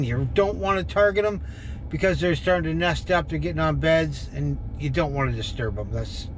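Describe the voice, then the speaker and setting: Middle-aged man, Canadian accent, casual folksy fishing chatter, fairly high voice, faint hiss behind. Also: rough recording. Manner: folksy, joking, thinks aloud with fillers. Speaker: fishing vlogger. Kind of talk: casual vlog narration. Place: inside a car, then outdoors by a creek. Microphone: camera on dashboard, later far camera on the bank.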